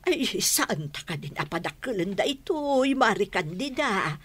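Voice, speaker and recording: Defensive ilocano woman who owes money, radio drama, sharp voice all over the place, protesting. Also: medium pitch, big pitch swings, moderate pace, slightly rough. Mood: pleading. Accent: Filipino (Ilocano). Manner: pleading, protesting. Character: evasive, defensive woman in debt.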